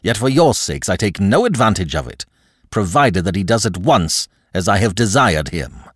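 Man playing a stern, low-toned sardonic young avenger. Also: sing-song, normal speed, husky, dry recording, clean studio sound. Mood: cold irony. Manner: stern, commanding, ironic. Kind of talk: dramatic audiobook character acting.

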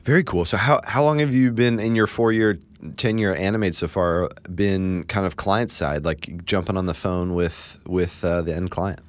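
A man's voice: sits low, fairly even intonation, normal speed, dry recording. A man hosting a casual interview, asking long rambling questions full of "like" and "you know". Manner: rambling, chatty questions with many "like"s.

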